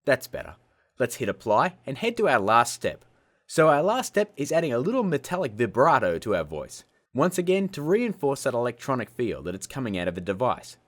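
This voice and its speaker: A man hosting a casual software tutorial in a cheeky, self-mocking way, with jokey asides. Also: medium pitch, very animated, fairly quick. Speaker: host of a video-editing tutorial show. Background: music.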